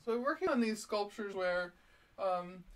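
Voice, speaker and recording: A woman's voice: mid-range voice, lively intonation, moderate pace. A woman streaming live from her art studio, laughing often and fumbling with the setup as she chats. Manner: self-deprecating, giggly, fumbling with the tech. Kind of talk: casual live stream chat. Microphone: laptop, DSLR and chest-mounted webcam.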